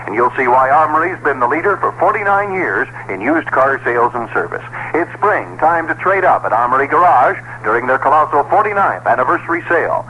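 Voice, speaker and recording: A man's voice: on the high side, sharp tone. An American man reading a scripted 1960s radio commercial as its announcer. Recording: noisy vintage radio recording.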